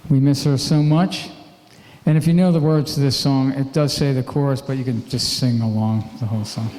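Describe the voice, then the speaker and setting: A man's voice: medium pitch, fairly even intonation, normal speed, mellow. A man at a memorial service in a church, giving a brief spoken introduction over guitar before he sings. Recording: roomy hall with live guitar playing.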